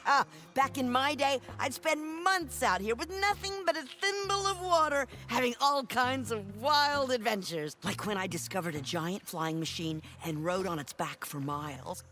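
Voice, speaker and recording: A woman's voice: fairly high pitch, animated, normal speed, hardly any pauses, over music, lightly acted. An actress playing a salty, sassy old woman adventurer who spins tall tales in a cartoonish game. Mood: nostalgic boasting. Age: older. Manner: wry, sassy. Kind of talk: animated game cutscene acting.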